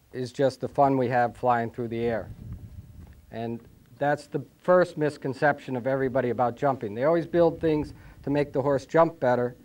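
A man's voice: medium pitch, darkish tone, far from the mic. A man riding trainer speaking confidently and emphatically about jumping.

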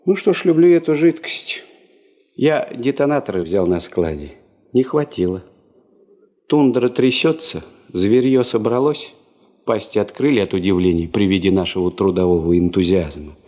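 Husky, swooping, wry ex-sailor prospector. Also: male voice, on the low side, lively intonation, moderate pace, mellow. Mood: wry, joking. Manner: folksy, joking, mock-grandiose. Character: chatty, wry working man, ex-sailor and prospector. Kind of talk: 1970s Soviet radio play acting. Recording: dull 1970s radio drama tape.

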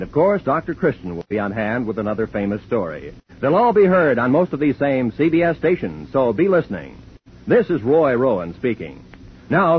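An American man announcing from a script in 1950s radio style. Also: mid-range voice. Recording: dull, faintly noisy 1950s radio recording.

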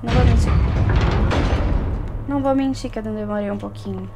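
A young woman with a Brazilian accent chats casually as she plays a game. She repeats words in excited bursts, and loud game music plays behind her. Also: fairly high pitch, normal speed, vocal fry. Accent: Brazilian Portuguese. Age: young adult. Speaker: gaming streamer. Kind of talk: casual gameplay commentary. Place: indoor room at home. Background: video game music.

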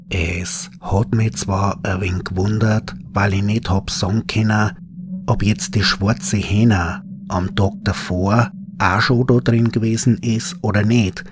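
Bavarian guy telling a creepy story in dialect, low voice, noisy ambience behind him. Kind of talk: scripted first-person horror story narration in dialect. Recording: clearly noisy ambient background.

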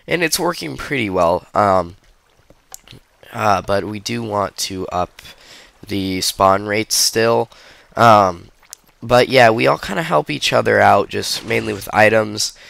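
A man's voice: on the low side, slow, creaky, a bit bright, dry recording. A young man with a stuffy nose, hosting a casual game commentary and rambling through it with many ums and uhs. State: stuffy, under the weather. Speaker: gaming video host.

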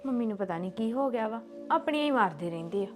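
A woman's voice: on the high side, expressive, fast, soft background music, close to an everyday voice. A woman playing a polite young daughter who sounds mildly exasperated. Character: dutiful young daughter who wants a job.

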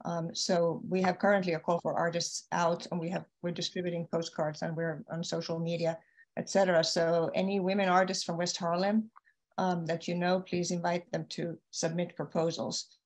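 A woman's voice: on the low side, flat delivery, normal speed. A woman, introducing herself on a video call, hesitant with many ums and restarts. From Finland. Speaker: community organization leader. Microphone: video call, laptop camera.

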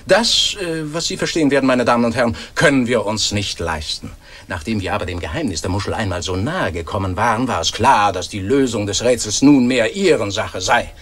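An actor playing an earnest, long-winded scientific announcer in a radio play, formal and deadpan. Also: male voice, mid-range voice, natural voice. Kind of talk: mock-formal scripted explanation in radio play.

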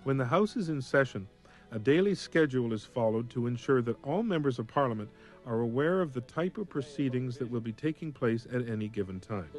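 A man narrating a documentary in a measured, explanatory way, reading a script. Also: mid-range voice, lively intonation, darkish tone, faint music behind.

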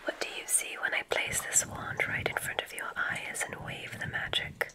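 A woman whispering gently and soothingly in an ASMR roleplay as a kindly witch examiner, breathy and unhurried. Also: poor audio. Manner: gentle, unhurried, soothing, theatrical word choice with soft repetitions. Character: kindly witch medical examiner at a magic school. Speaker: ASMR performer playing a witch doctor.

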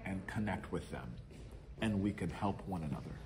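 A middle-aged man sits in a large indoor lounge and answers questions in a reflective, earnest way. His voice sits a little low. A faint room hiss runs under the recording. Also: lively intonation, moderate pace, a little mellow. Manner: earnest, reflective, gestures while talking. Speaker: interviewee. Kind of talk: casual interview answers.

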